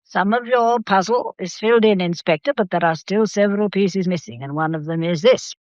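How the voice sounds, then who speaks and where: A haughty old English matriarch of Victorian manners, played by a woman in a radio drama. She speaks formally and deliberately at a middling pitch, in a close, dry but slightly dull recording. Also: darkish tone, dry recording, lightly acted. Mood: stern, challenging. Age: older. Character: imperious, sharp old Victorian matriarch, formidable grandmother.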